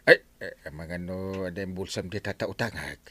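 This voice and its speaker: An actor with an Ilocano accent playing a stressed, broke village captain in a radio comedy drama, complaining with exaggerated exclamations. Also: male voice, low-pitched, normal speed, bright, forceful tone, slight background noise. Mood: desperate, whining.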